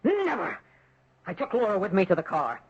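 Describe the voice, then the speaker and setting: An actor playing a tormented, deluded husband in an anguished, dramatic radio drama style. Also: male voice, high-pitched, huge pitch swings, normal speed, breathy edge, exaggerated voice. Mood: desperate, haunted. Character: tormented, deluded husband convinced of the devil's hand. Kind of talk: 1970s radio drama acting. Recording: dull, noisy old radio recording.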